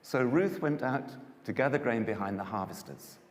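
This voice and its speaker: A man narrating scripture as a dramatised story, with a measured and expressive delivery. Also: mid-range voice. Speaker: narrator, Bible reader. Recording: echoey hall, long reverb, music.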